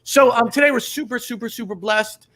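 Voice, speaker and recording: A man's voice: very high-pitched, pushed and bright. A man hosting a podcast, joking and bantering with his guests in casual chat. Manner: joking, quick back-and-forth banter. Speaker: podcast host.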